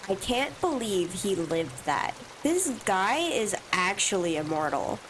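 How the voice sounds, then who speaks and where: A woman's voice: medium pitch, big pitch swings, slow pace, background noise. A woman streamer with allergy sniffles, reading a visual novel's first-person text aloud with asides of her own. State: stuffy from allergies.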